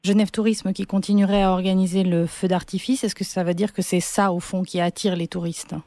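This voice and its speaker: Female news interviewer, soft-edged mellow tone, composed and probing, very dry close studio sound. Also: medium pitch, flat delivery, a little fast, no echo. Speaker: radio journalist, interviewer.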